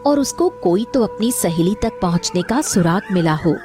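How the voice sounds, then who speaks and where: A woman's voice: medium pitch, lively intonation, moderate pace, over music. A woman narrating a scripted cartoon story as its storyteller.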